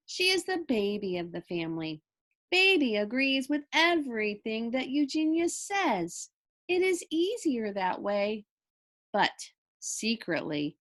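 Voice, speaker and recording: A woman reading a children's story aloud with expressive narration. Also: fairly high pitch.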